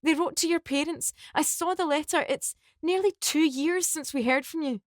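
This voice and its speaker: A woman voicing a distressed young woman torn between two men, high and pleading. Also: a little slow, dry recording, clean studio sound, lightly acted. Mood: distraught.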